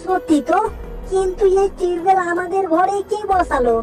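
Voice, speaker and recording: A woman's voice: extremely high pitch, a little mellow, faint music behind. A woman voicing a poor young village boy who talks earnestly and anxiously. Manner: earnest, anxious, quick questions.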